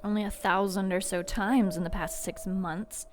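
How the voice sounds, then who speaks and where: A wary female demon sidekick, played by a woman in a radio drama. Her voice sits at a medium pitch, and she talks in a dry, questioning way, loyal yet skeptical. Light ambient noise sits behind her. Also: fairly even intonation, moderate pace, natural voice. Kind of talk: audio drama acting.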